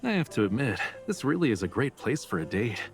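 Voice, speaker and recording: A man's voice: medium pitch, very animated, a little fast, soft background music. An actor playing a young male love interest in a romance game, playful, casual and wry, with teasing delivery. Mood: relaxed, teasing.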